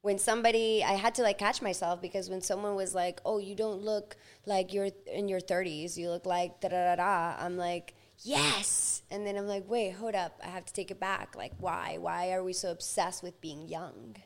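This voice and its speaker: A woman in her thirties, a podcast guest, speaking reflectively and at times slowly as she thinks aloud. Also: on the low side, steady tone. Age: 30s. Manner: reflective, slow and thoughtful at times. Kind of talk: casual interview chat.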